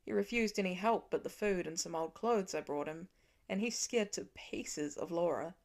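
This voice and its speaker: A woman voicing a weary, protective father, earnestly and gently explaining things to his children in an audiobook. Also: fairly low pitch, moderate pace, lightly acted. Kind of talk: acted audiobook dialogue.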